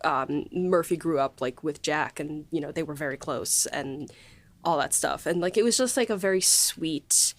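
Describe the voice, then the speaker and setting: A woman hosting a casual behind-the-scenes podcast chat, speaking effusively and quick to laugh. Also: low-pitched. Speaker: podcast host and audio drama writer.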